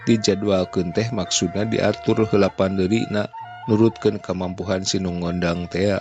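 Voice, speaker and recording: A man reading a classic folk tale aloud in a steady, measured way, over background music. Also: low voice, dull audio. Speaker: storyteller. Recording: music playing underneath.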